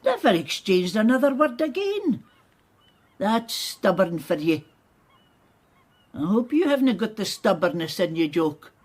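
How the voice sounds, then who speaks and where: Older Scottish aunt for radio drama: husky, lively swings in pitch, wistful and stubborn. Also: female voice, a little slow, pauses often. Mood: weary, pleading.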